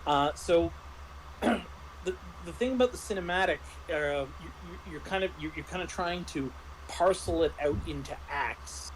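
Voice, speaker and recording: Higher-voiced man explaining over noise. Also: measured pace, low-quality recording. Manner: explanatory, restarts and rephrases in mid-thought. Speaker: game master. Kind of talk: casual review discussion.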